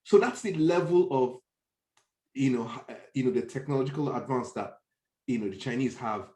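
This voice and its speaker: A man's voice: mid-range voice, lively intonation, moderate pace, dark tone. A man with a Nigerian accent in a conversational discussion, often stopping to search for words and restate his point.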